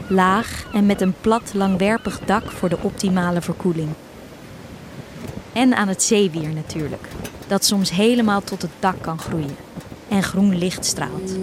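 A woman playing an earnest schoolgirl, proud of her fish farmer father, narrating a radio play in a measured storytelling way. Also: lowish voice. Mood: calm, proud.

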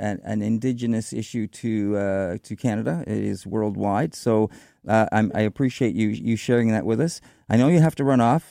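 A man hosting a radio talk show, interviewing a guest in a measured way with some fillers. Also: medium pitch, vocal fry, mellow, no room sound, clean studio sound. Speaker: radio host. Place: radio studio.

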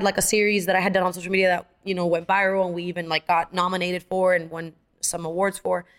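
Fast-talking young woman telling stories. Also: medium pitch. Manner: animated, acts out quoted dialogue, many fillers. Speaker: actress guest. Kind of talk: casual storytelling interview.

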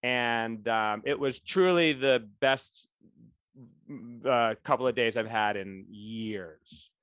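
A man's voice: mid-range voice, takes it slowly, a bit bright, smooth, no room sound. A man co-hosting a podcast in casual chat, rambling with lots of fillers and restarts. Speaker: podcast co-host.

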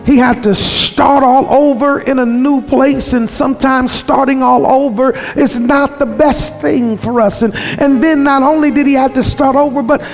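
A man's voice: very high-pitched, fairly even intonation, normal speed, hardly any pauses. A man preaching a sermon in an emphatic, repetitive cadence that sometimes slides into half-singing. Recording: reverberant hall, faint music behind.

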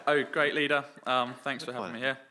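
A man's voice: medium pitch, steady tone, a little fast. A man speaking casually as an audience member asking a question, hesitant and apologetic. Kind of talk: casual chat. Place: radio theatre audience. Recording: faint noise in live theatre.

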